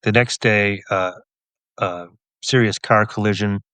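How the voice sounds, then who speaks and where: Male interview guest, cop-turned-writer. Low pitch, dry close sound, thoughtful and explanatory delivery. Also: fairly even intonation, measured pace, fairly bright tone, smooth, no room sound. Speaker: police officer and author, interview guest. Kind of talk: thoughtful conversational interview answers.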